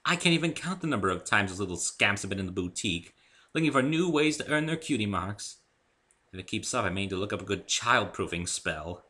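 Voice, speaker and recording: A man voicing a refined, theatrical female unicorn fashion designer with genteel, drawn-out emphasis in a story reading. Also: lowish voice, animated, bright, forceful tone. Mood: fond, gentle.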